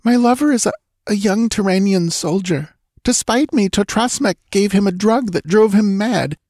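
A man's voice: sits high, moderate pace, a little mellow, no echo, clean studio sound, pitched above the performer's own voice. A man putting on a frightened young dancing girl. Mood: urgent. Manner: pleading, breathless.

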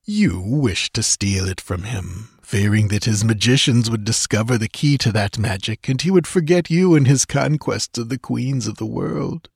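Man acting a gravelly, mocking sorcerer-priest villain. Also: medium pitch, normal speed, few pauses, no echo, studio quality. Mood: taunting. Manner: sardonic, purring false courtesy, taunting. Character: cruel, mocking, smugly lecherous male sorcerer-priest villain.

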